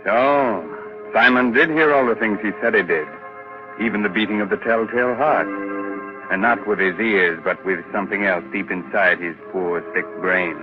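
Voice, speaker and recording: A man's voice: fairly low pitch, moderate pace, music in the background. A man hosting a 1940s radio horror show with wry, mock-ghoulish, tongue-in-cheek delivery. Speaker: host. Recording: muffled old radio broadcast.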